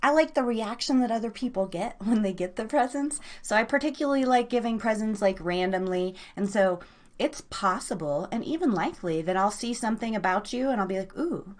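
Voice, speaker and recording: A woman with an American accent and a high voice hosts a live online radio show. She chats casually and affectionately with her listeners, with a bubbly, informal manner. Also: animated, brisk. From United States.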